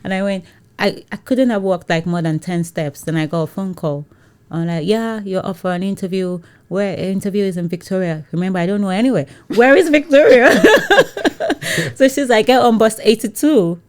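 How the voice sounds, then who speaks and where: A woman's voice: mid-range voice, normal speed. A woman with a Nigerian accent, a guest in an interview, telling her story with frequent laughter and acted-out voices. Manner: laughs often, acts out quoted voices. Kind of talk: animated storytelling in conversation.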